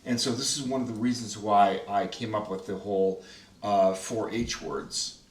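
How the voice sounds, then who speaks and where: A man's voice: fairly low pitch, moderate pace. A man hosting a casual behind-the-scenes chat about his radio play, loose and full of fillers, asking the cast questions. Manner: loose, filler-heavy, asks many questions. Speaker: host and creator of the radio play. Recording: roomy room, distant mic.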